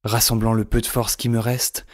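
A man playing the melodramatic narrator-hero of a comic science-fiction radio drama, with a husky voice. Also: lowish voice, level tone, moderate pace, lightly acted. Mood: weary, dramatic.

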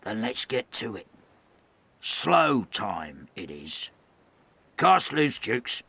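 A man voicing a hard, muscular gun captain who talks in rough sailor's dialect. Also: fairly low pitch, sing-song, drawn-out words, sharp tone, dry recording, exaggerated voice. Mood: gruff.

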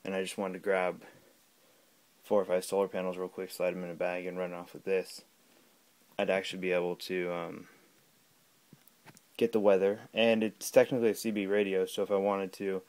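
A man giving a casual, unscripted gadget demonstration at home, talking in a halting, rambling way. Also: low-pitched, flat delivery, darkish tone. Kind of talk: casual unscripted product demo. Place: small room at home. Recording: clear background noise with clicks.

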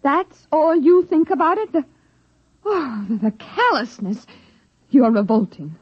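Casting: indignant lady inspector, high and very animated, clipped and bossy, old radio play. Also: unhurried, dry recording. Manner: clipped, commanding, formal. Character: stern, haughty, indignant female company inspector. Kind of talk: 1950s radio drama acting. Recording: dull 1950s radio recording.

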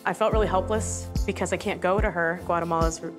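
A woman's voice: lowish voice, steady tone, quick pace. A young woman from Maine chatting informally as a contestant in a talent show audition interview. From Maine, United States. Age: young adult. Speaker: singing contestant. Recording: music behind TV audition talk.

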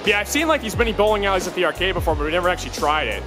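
Excitable young man with Midwestern accent. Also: sits high, racing. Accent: Midwestern American. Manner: excitable, cheering on friends. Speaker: vlogger host. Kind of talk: casual arcade vlog commentary. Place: indoor arcade bowling alley. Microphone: handheld camera. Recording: loud background music, busy arcade.

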